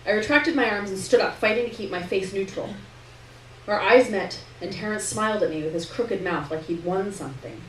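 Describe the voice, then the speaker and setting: A woman narrates literary fiction at a live reading, in a medium-pitched voice. She is picked up from a little way off in an echoey room, with noise behind her. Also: a little fast. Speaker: story reader. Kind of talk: literary narration read aloud to an audience. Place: live reading in a roomy indoor venue. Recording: roomy distant live recording, noticeable hiss.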